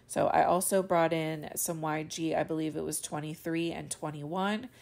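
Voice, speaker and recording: A woman's voice: low-pitched, steady tone, normal speed, vocal fry, darkish tone. A young woman with a stuffy, congested and slightly cracking voice, chatting through a casual voiceover for a coloring tutorial. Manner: chatty, rambling with fillers. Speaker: card-making channel host. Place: home studio voiceover.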